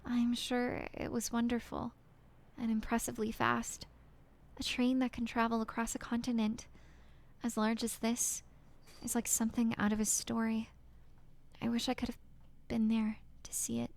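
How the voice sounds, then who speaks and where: A woman voicing a heartbroken young woman reading out her farewell letter in a halting, apologetic half-whisper. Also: a little high, monotone, close to an everyday voice.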